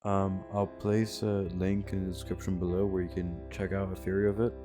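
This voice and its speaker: Low-voiced man narrating over guitar music. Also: flat delivery, fairly quick. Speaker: video essay narrator. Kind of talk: casual scripted video game commentary. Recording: music behind the voice.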